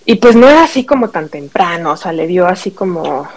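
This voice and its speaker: A woman's voice: on the low side, sing-song, brisk. A middle-aged Mexican woman, a podcast guest telling a personal story in casual conversation, acting out what people said.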